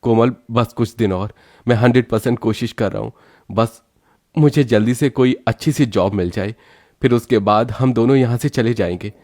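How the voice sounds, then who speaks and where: A man's voice: mid-range voice, normal speed, darkish tone, natural voice. A man playing an earnest, good-hearted but troubled younger brother in a cartoon story, speaking emphatically. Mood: troubled, determined. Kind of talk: Hindi cartoon story acting.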